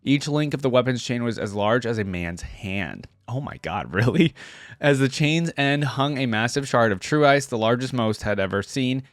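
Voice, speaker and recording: A man's voice: mid-range voice, lively intonation, moderate pace, dry recording, clean studio sound. A man hosting a reaction video, reading a story aloud and commenting informally in a casual style. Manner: informal, breaks off to comment on what he reads.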